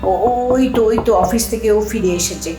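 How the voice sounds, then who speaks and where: A woman playing a troubled, protective elder sister in an audio drama, with emotional, expressive delivery. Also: fairly high pitch, fast, close to an everyday voice. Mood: troubled, resolute. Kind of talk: Bengali audio drama acting. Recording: echoey room, distant mic, music bed.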